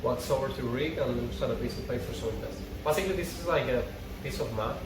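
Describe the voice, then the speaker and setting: A man's voice: mid-range voice, fast, noisy background. A man hosting an amateur table read, reading stage directions from a script and adding casual asides. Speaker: host of a fan table read. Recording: echoey room, distant mic, music behind.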